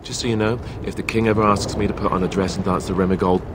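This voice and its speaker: An actor playing a flippant young warrior in a video game, with a sarcastic, joking delivery. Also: male voice, lowish voice, steady tone, fast, lightly acted. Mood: wry. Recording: game ambience noisy behind.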